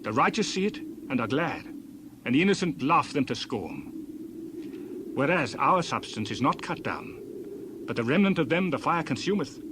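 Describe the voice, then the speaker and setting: Birds and noise sit behind an actor as a righteous, admonishing counselor in a Bible drama. His voice is on the high side for a man, stern, preachy and declamatory. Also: expressive, normal speed, lightly acted. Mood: stern rebuke. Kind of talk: dramatized scripture reading.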